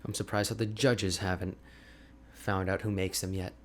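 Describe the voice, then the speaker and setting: A man playing an energetic, boastful, chatty ice mage hermit in an ASMR roleplay, with a playful, expressive, conversational delivery. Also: deep voice, moderate pace, a little hiss or hum. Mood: chatty, opinionated. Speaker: audio roleplay voice actor.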